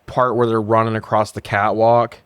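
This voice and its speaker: A man with a fairly low voice talks casually as a podcast co-host. He recaps and reviews film scenes in a joking way and swears freely. The sound is very dry, with no room on it. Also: level tone, normal speed, a bit bright, clean tone, no room sound.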